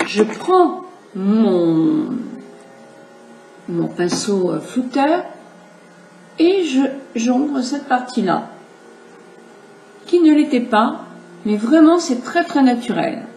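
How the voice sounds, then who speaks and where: A middle-aged woman with a high, light voice, a little stuffy from a cold, talks viewers through her makeup in a chatty, explanatory way. She sounds roomy and echoey, with a faint hiss in the background. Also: big pitch swings, very slow, creaky, pauses often, darkish tone. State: has a cold. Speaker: makeup tutorial host. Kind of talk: casual makeup demonstration.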